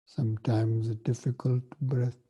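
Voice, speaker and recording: A man guiding a breathing meditation, speaking softly and evenly with long pauses between phrases. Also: medium pitch, breathy edge. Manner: slow, soft and even, with long pauses. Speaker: meditation teacher.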